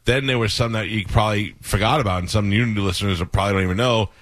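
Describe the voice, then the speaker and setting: A man with a very low voice hosts a radio show from a dead, dry studio, recounting events in a loose, rambling way. He drifts through fillers and asides in a matter-of-fact tone. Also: steady tone, fast talker, fairly bright tone, no echo. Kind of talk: casual radio chat.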